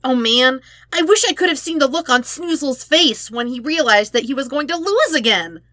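A woman's voice: high voice, lively intonation, normal speed, bright, forceful tone, no room sound, clean studio sound, pitched above the performer's own voice. A woman voicing a brash, teasing young woman with a gleeful, mocking delivery in a story reading.

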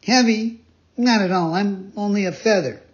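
A man voicing Pinocchio, a young wooden marionette boy, in a high boyish storybook voice, earnest, wailing and imploring. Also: moderate pace, natural voice. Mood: eager. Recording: dull lo-fi recording.

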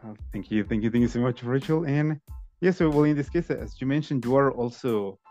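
Man hosting, halting with many fillers. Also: mid-range voice, warm tone, lo-fi sound, soft background music. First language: Spanish. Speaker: podcast host. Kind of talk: casual interview chat.

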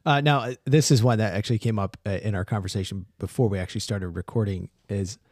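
A man co-hosting a podcast, asking casual questions in a relaxed, hesitant way. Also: lowish voice, quick pace, no room sound, studio quality. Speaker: podcast co-host.

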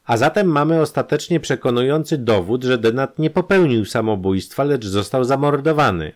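A man voicing a sharp, polite male amateur detective in a crime audio drama. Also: medium pitch, normal speed, voice pushed up, lightly acted. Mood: pointed.

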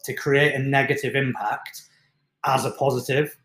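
A man, a fitness coach, talks through mindset in an earnest, reflective way. His voice sits at an ordinary middle pitch. The sound bounces around an echoey room. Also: little pitch movement, normal speed, a bit bright. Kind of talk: motivational talk on mindset.

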